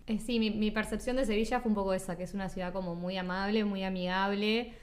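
A woman's voice: medium pitch, steady tone, fast talker. A woman from Uruguay with a Uruguayan accent, hosting a casual conversational interview. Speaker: podcast host.

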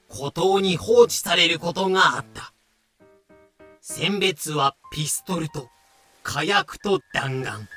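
A man's voice: on the high side, sharp tone. A man delivering scripted dramatic narration as the storyteller.

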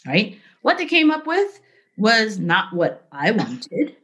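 Animated woman telling work stories. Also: mid-range voice, slow pace. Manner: animated, frequent "right?" and "like", laughs easily. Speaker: interview guest, business leader. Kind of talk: candid conversational storytelling.

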